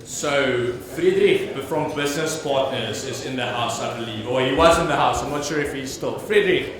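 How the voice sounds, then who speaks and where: A man's voice: medium pitch, normal speed, fairly bright tone. A man with a South African accent hosting an awards show on stage, slangy and enthusiastic, with casual swearing. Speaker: awards host. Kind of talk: lively stage emceeing. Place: large event hall with audience. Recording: very echoey hall with crowd noise.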